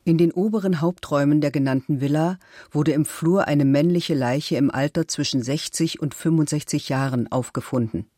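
A man's voice: on the high side, normal speed, mellow, no echo, studio quality, lightly acted. A man playing an official who reads out crime-scene evidence soberly and exactly in a scripted documentary. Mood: sober, impersonal. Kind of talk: scripted documentary reading of a police report.

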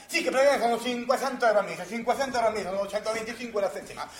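An actor playing an anxious, excitable young Neapolitan worker in a radio drama, rambling and repeating himself as he counts money. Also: very high voice, very fast, echoey room. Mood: anxious reckoning. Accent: Neapolitan, southern Italian. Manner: rambling, agitated, repetitive. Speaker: stage actor. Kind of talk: theatrical radio drama monologue and dialogue.

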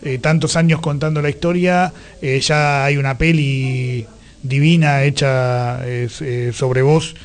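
A man's voice: mid-range voice, steady tone, unhurried. An Argentine man hosting a conversational radio interview. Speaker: radio show host.

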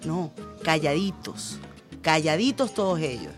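A woman's voice: sits low, very animated, unhurried, faint music behind. A woman from Venezuela hosting a radio show, making pointed, sarcastic commentary. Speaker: radio host.

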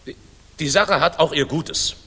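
An actor playing a reasonable, good-natured air force officer in a 1960s German radio drama. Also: male voice, on the high side, measured pace, bright, forceful tone, husky, exaggerated voice. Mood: consoling, wistful. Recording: reverberant, distant mono 1960s radio recording.

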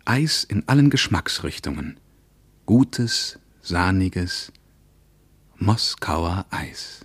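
A man's voice: sits low, big pitch swings, very slow, husky, dry recording, exaggerated voice. A man playing a reflective traveller, narrating his journey in literary radio play style. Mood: pensive, observant. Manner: pensive, literary. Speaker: first-person narrator.

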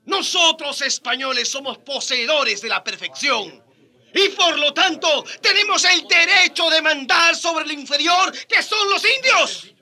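A man's voice: very high-pitched, lively intonation, unhurried, sharp tone, exaggerated voice. A man playing a haughty, pompous Spanish scholar declaiming in a radio drama debate. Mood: indignant, haughty. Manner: pompous, declamatory, rhetorical questioning. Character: haughty Spanish scholar debating against equality of American Indians.

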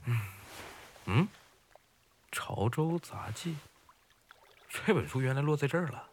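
A man playing a gentle, earnest, bookish boatman in a Chinese period audio drama, easily flustered. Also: fairly high pitch, slow. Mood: musing. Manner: earnest, expressive. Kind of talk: Chinese audio drama acting, dialogue and monologue.

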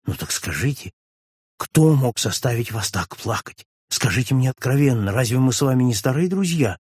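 A man voicing a suave gentleman friend who pleads tenderly with a touch of ironic melancholy, read for an audiobook. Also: medium pitch, expressive, normal speed, breathy edge, dry recording, clean studio sound. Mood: tender pleading. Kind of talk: audiobook reading of a classic novel.

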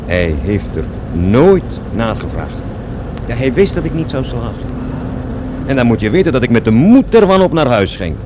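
A man's voice: mid-range voice, big pitch swings, moderate pace, background noise, natural voice. An actor playing a defiant young man who recalls his schooldays and his father in colloquial, scornful slang for a radio drama. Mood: reflective. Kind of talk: radio drama acting, animated storytelling.

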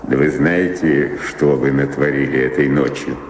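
Grumpy manservant in a radio play, low unhurried scolding voice, big echoey hall. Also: male voice, fairly even intonation. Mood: indignant scolding. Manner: scolding, indignant, deliberate. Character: grumbling, indignant household manservant of a noble house. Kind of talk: radio drama acting. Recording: reverberant hall sound with faint noise.

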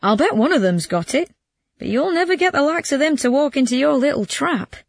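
Woman playing a sly prankster, lively swooping pitch. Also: brisk, creaky, dry recording, clean studio sound. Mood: scornful, scheming. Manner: cunning, goading, mock-doubting. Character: sly, mocking male prankster, egging on his victim.

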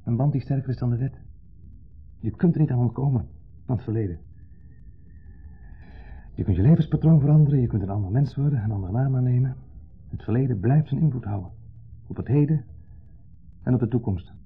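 An actor playing a wistful, sentimental divorced man in a 1970s Dutch radio play, speaking haltingly and reflectively in short fragments. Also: mid-range voice, lively intonation, vocal fry, breathy edge, faint music behind. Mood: intense, brooding. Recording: muffled, lo-fi 1970s radio recording.

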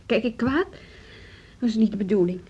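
An informal, slightly hesitant music teacher in a radio drama. Her voice is high and breathy with a soft, dark tone. The recording sounds muffled. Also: normal speed. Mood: uneasy, apologetic. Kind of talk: Dutch radio drama acting.

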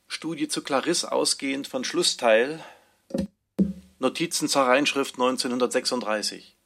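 Guy announcing section titles, scripted, gravelly voice, faint beat music behind him. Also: medium pitch, a little slow, fairly bright tone. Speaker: announcer of manuscript headings.

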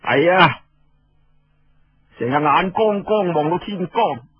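A man's voice: sits high, animated, slow pace, slightly rough, no echo, highs cut off, voice pushed up, exaggerated voice. A man voicing a paranoid, sleepless warlord and proud elder brother, jumpy and blustering, in audiobook storytelling. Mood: defensive bluster.

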